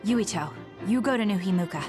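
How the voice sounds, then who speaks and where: Casting: actress for decisive young game heroine, medium-pitched, composed and direct, music clearly underneath. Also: female voice, lively intonation, a little fast, close to an everyday voice. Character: composed, decisive young female fighter, coolly loyal. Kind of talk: English video game dub acting.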